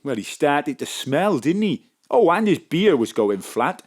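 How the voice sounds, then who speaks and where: Maudlin, slow-talking Welsh mourner. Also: male voice, fairly high pitch, very animated, breathy edge, exaggerated voice. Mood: maudlin, grieving. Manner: mournful, plain-spoken. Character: John, maudlin Welsh pharmacy clerk mourning his brother. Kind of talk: comic audio drama acting.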